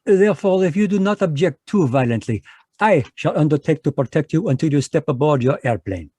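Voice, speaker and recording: A man's voice: a little high, expressive, a little fast, mellow, slightly rough, no echo. A man playing a fussy, precise Belgian detective with a French accent in a radio drama, read live over a video call. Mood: polite, shrewd. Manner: precise, polite, sprinkles French words. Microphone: home microphone on a video call.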